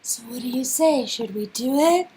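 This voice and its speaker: A woman's voice: high-pitched, animated, moderate pace, dark tone, slight background noise. A woman performing interactive game dialogue in a casual, acted read-through style. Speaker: performer reading game script.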